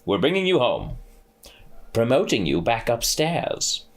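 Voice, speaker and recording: Man playing a pompous self-assured archangel boss, husky, patronizing. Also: mid-range voice, wildly swooping pitch, a little slow, voice pushed up, exaggerated voice. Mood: smug. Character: breezy, pompous male archangel official.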